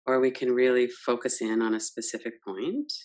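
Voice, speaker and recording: A woman leading a guided yoga nidra meditation, gentle and unhurried, guiding with soft questions. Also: a bass voice, fairly even intonation. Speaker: meditation teacher.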